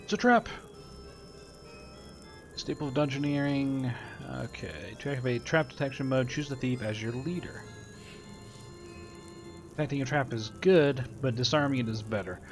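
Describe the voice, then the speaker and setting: A man's voice: mid-range voice, normal speed, long pauses, faint music behind. A man giving casual gameplay commentary, muttering to himself and reading tips aloud. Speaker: game video host.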